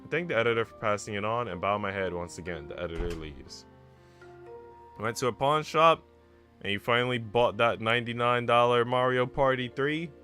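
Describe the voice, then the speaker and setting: A man's voice: mid-range voice, brisk, pushed and bright, faint music behind. A man reading a visual novel aloud and chatting casually about games as a streamer. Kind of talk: casual read-aloud and game chat.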